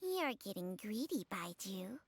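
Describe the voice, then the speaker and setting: A woman voicing a world-weary, sardonic female snake spirit in a fantasy game, speaking in a knowing, measured way. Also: medium pitch, sing-song, a little hiss or hum. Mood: wry reproach. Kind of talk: scripted fantasy game acting. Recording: music bed under dialogue.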